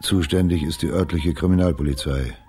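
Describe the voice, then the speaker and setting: A man's voice: rumbling low, steady tone, fairly quick, over music. An actor playing a terse, businesslike boss briefing his investigator in a radio crime drama, matter-of-fact in manner.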